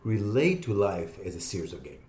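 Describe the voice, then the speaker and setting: Lively man in his fifties, echoey room. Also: on the low side, a little hiss or hum. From Israel. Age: 50s. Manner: animated, explaining with quick asides and laughs. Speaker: podcast guest, entrepreneur. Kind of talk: casual interview talk.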